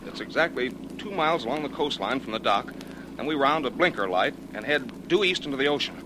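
Guy acting worried husband, old radio drama, medium pitch, motorboat noise behind. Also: lively intonation, a little fast, close to an everyday voice. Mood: anxious. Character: anxious, despairing husband. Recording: loud boat motor effect, old radio. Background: motorboat engine sound.

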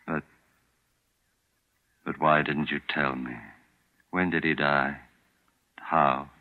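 A man's voice: a bass voice, level tone, slow pace, exaggerated voice. An actor playing a frightened, sick older man who narrates his own story in a 1940s radio drama, anxious and pleading. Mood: desperate. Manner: anxious and insistent, voice rising in panic. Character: frightened ailing older man, father-in-law. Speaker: narrator and lead character. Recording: dull lo-fi old radio broadcast.

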